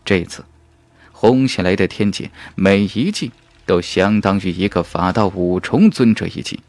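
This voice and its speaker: A man's voice: low voice, unhurried, darkish tone, dry recording. A man reading a fantasy novel as an audiobook narrator in an emphatic, suspenseful, dramatic style.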